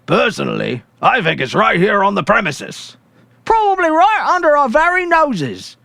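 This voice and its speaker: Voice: medium pitch, huge pitch swings, moderate pace, vocal fry, pushed and bright, no room sound, clean studio sound, pitched above the performer's own voice, heavily acted. A performer voicing casual male policemen who talk bluntly and thickly with their mouths full, in a story reading. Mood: casual, speculating.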